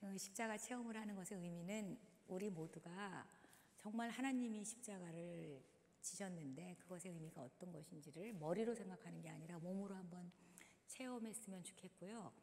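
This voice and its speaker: Hoarse woman, church movement leader, slow instructive delivery, long hall reverb. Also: on the low side, darkish tone, slight background noise. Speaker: dance leader in a church service. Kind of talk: guiding a congregation through movement. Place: large church sanctuary.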